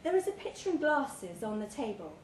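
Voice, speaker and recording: A woman narrates a short story live in a pub, in a fairly high voice. She takes her time, leaving measured dramatic pauses. The room echoes around her, with some noise behind. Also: very animated. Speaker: story reader. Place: pub, live reading event.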